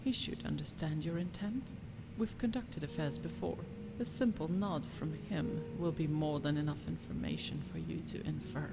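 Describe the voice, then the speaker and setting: Low, creaky, haughty noblewoman over music. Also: very animated, normal speed, hardly any pauses, heavily acted, poor audio. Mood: conspiratorial, threatening. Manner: imperious, formal, disdainful diction. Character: haughty, scheming noblewoman of a fantasy kingdom. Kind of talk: fantasy video game dialogue. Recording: music behind the voice.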